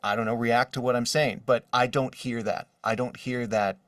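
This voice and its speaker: A man's voice: mid-range voice, fairly even intonation, normal speed, fairly bright tone, no room sound, studio quality. Slightly distant male voice actor, chatting casually. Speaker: voice actor, interview guest. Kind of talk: casual podcast interview.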